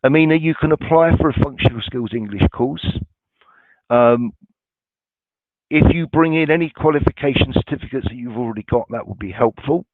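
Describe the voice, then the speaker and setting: A man's voice: medium pitch, normal speed, no echo. A man from a college staff answering viewer questions in a webinar, informal and reassuring, with many ums and restarts. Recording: dry, tinny phone-like sound.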